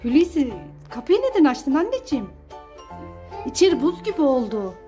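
A woman with a very high-pitched voice speaks as a mother at play with her child. She is exclamatory and repeats short urgings in a playful home skit. Music plays behind her. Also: very animated, moderate pace.

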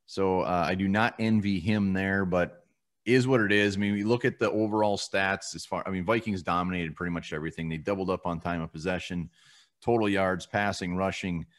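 A man's voice: low-pitched, steady tone, brisk. A man co-hosting a casual postgame sports show from a home room over a video call, blunt and casually swearing as he runs through stats. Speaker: co-host of a sports fan show.

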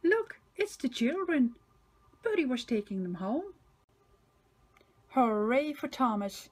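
Slow, animated older woman reading a storybook. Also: high-pitched, husky. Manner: emphatic, sing-song repetition. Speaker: storybook reader for children. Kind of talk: expressive read-aloud narration for small children.

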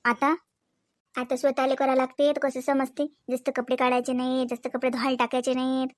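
A woman's voice: high-pitched, monotone, a little fast, no echo, studio quality, close to an everyday voice. A woman playing a chatty, dreamy, playful young housewife in a comedy cartoon, speaking with a Varhadi Marathi accent from Vidarbha in a dramatic, giggly manner. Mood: musing, playful.

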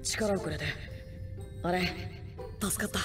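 A woman playing a brash, stubborn, good-hearted teenage boy ninja in an anime game, husky and boyish, with rough, earnest slangy speech. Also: fairly low pitch, measured pace, music in the background, lightly acted. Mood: determined, earnest.

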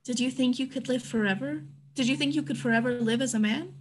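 A woman acts a worldly madam who is practical and caring. Her fairly high voice has a husky edge, in a stage play read over video call. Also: quick pace.

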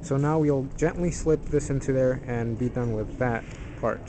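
A man's voice: medium pitch, moderate pace. A man narrating an informal hands-on tutorial in a plain-spoken, slightly hesitant, self-deprecating way. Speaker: DIY tutorial presenter. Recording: noisy amateur camera audio.

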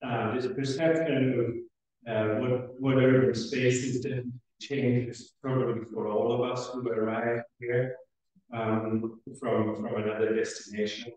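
A middle-aged man from Switzerland giving a conference welcome speech as chair, speaking haltingly with frequent hesitant pauses. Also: medium pitch, fairly even intonation, a little mellow. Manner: halting, hesitant, pausing often. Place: indoor lecture room at a lectern. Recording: very echoey room, far from the microphone.

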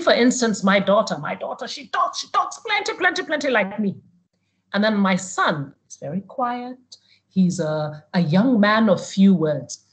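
A middle-aged woman from Uganda giving a motivational webinar talk to young people, telling stories and repeating words for emphasis. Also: medium pitch. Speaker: motivational speaker. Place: online video call.

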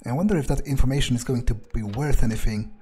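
A man's voice: mid-range voice, quick pace, warm tone, breathy edge. A man playing a game in character, muttering sardonic thoughts aloud. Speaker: gamer roleplaying a character.

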